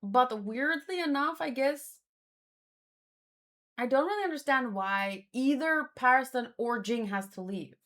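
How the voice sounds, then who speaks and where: A woman with a fairly high voice hosts a casual read-along commentary. She speaks slowly and muses out loud. Her delivery is hesitant, with words often repeated as she thinks. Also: expressive. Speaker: host of a manga read-along.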